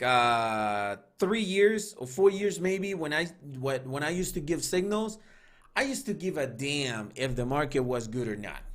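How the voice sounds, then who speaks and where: Fairly high-voiced man hosting a livestream. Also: a bit bright. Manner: loose and conversational, many fillers and restarts. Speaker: trading signals group host. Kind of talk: casual livestream talk reading live chat.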